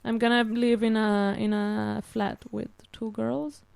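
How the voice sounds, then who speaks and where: A woman's voice: on the high side, flat delivery, measured pace, vocal fry, darkish tone, no room sound. A woman in her twenties from Switzerland, a native Italian speaker, chatting as a podcast guest, hesitant with many fillers and restarts. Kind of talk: casual interview chat.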